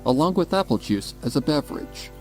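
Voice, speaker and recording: A man's voice: fairly high pitch, animated, a little fast, darkish tone. A man reading a scripted story as a dramatic narrator. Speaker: narrator of a fan fiction reading. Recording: music behind the voice.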